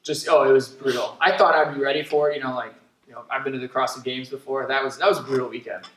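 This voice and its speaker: A man's voice: mid-range voice, fairly even intonation, a bit bright. A young adult man, a gym co-owner, chatting casually and upbeat as a podcast guest. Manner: fast, upbeat, jokey talker. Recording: echoey room, distant mic.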